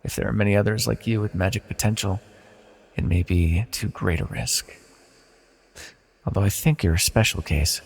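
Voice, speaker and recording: Man playing a gravelly, echoing banished villain god. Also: on the low side. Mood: measured, condescending affection. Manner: slow, formal, measured, confessional. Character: banished male villain deity, ancient, proud, wistful, tenderly smitten. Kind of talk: scripted fantasy audio roleplay, intimate monologue. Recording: long reverberant hall echo.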